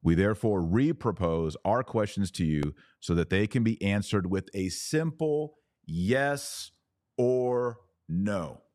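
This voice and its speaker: A man's voice: lowish voice, expressive, slow, darkish tone, dry recording, clean studio sound. A man hosting a podcast, reading documents aloud with emphasis and adding informal, colloquial explanations as commentary.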